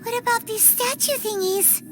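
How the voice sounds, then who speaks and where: Squeaky, sky-high little fairy girl. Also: normal speed. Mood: curious, uneasy. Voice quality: squeaky, childlike. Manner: naive, blurting questions. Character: tiny, childlike, curious, easily startled fairy girl companion. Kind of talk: cartoonish video game dialogue. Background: background music.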